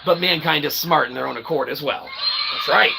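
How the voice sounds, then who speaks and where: A man with a raspy, gravelly voice giving an improvised philosophical monologue in wordy, pseudo-formal phrasing, often checking in with short tag words. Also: on the high side, a little fast, very noisy. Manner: rambling, wordy pseudo-formal phrasing with frequent "okay" and "all right" check-ins. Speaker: independent online philosophy presenter.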